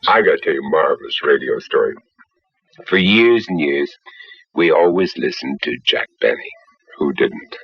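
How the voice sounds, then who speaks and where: Older male raconteur, low husky voice, animated storytelling, dull archive sound. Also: normal speed, dry recording, soft background music. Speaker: actor telling an anecdote. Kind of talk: informal storytelling. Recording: dull, dry archive recording.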